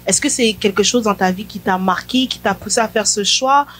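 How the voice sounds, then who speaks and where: A woman's voice: medium pitch, brisk, a little hiss or hum. A woman hosting a morning faith talk show, inviting her guest and asking questions for viewers. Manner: warm, inviting, interrupts to clarify for viewers. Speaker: host.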